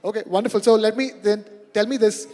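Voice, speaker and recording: A man moderating a summit panel, asking long questions in a brisk, talkative way. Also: high voice, steady tone, dark tone. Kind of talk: moderating a panel discussion, mixing English and Hindi. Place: large event hall on stage. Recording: long reverb of a large hall.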